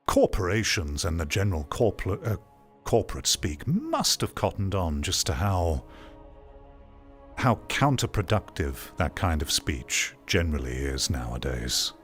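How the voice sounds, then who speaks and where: A middle-aged man giving casual live commentary as a streamer, rambling in a sardonic, exasperated manner. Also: sits low. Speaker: streamer reacting to a video.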